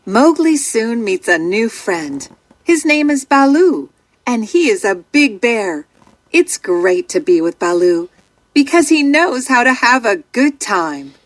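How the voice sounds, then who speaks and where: A woman narrating a children's storybook from a script in an expressive, dramatic storytelling manner. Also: fairly high pitch, slow, no echo.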